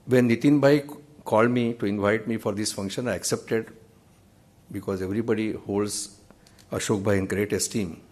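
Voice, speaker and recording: A man's voice: lowish voice, steady tone, darkish tone. Earnest Indian man lecturing from a podium. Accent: Indian English. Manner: measured, earnest, wry anecdotes. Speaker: judge giving a memorial lecture. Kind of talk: formal lecture with anecdotes. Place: large auditorium stage. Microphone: podium microphone.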